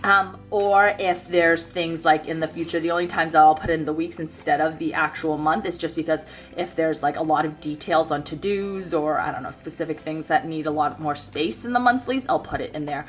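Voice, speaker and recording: A woman's voice: deep voice, moderate pace, hardly any pauses, faint music behind. A woman in her thirties chatting casually about her planner, sniffly from a cold and rambling with lots of fillers. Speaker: planner vlogger. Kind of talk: casual chat while planning. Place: home desk by a bright window.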